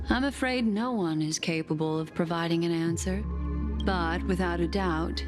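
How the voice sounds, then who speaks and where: A woman playing a poised, enigmatic and courteous guide in a video game, speaking in a measured way. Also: medium pitch, natural voice. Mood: calm, cautioning. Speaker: game character. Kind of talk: scripted video game dialogue. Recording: music behind the voice.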